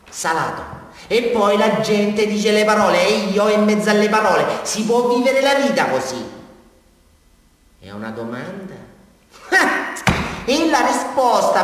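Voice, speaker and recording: An actor playing a nervous, childlike murder suspect rambling through a confession in a radio drama, with a southern Italian accent. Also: male voice, sits high, a bit bright. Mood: halting, subdued, then agitated, insistent. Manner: rambling, repetitive, agitated, with sudden swings. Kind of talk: theatrical radio drama monologue. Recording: distant, very echoey hall, faint noise.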